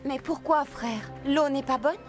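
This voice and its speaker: A high-voiced innocent young girl. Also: a little slow. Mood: curious. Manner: wondering, questioning. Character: innocent, curious young woman, sisterly companion. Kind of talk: French cartoon voice acting. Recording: music behind the voice.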